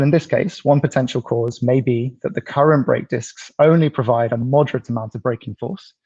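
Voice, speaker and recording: A man from the UK, presenting an app in a live pitch, explaining steadily with some ums and restarts. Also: a little mellow, no echo, highs cut off. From United Kingdom.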